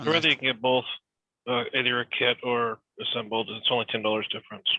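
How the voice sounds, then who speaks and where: A man's voice: medium pitch, fairly even intonation, a little fast, no room sound. A man chipping in informally as a participant on a call, with dull lo-fi sound. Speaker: participant adding a comment. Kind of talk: brief informal remark.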